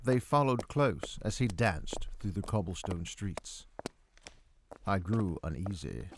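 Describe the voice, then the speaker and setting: A man's voice: low voice, animated, slow pace, background noise. A man narrating a dramatic first-person story as a miserly, befuddled middle-aged doctor, in a theatrical, literary delivery. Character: miserly, befuddled 45-year-old male doctor recounting his own tale.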